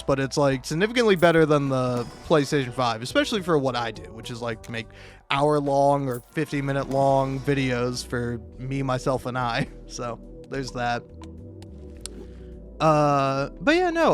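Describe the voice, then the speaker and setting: A man's voice: mid-range voice, expressive, measured pace, soft background music. A man doing casual, rambling YouTube gaming commentary, stammering and restarting often, with profane, self-deprecating talk.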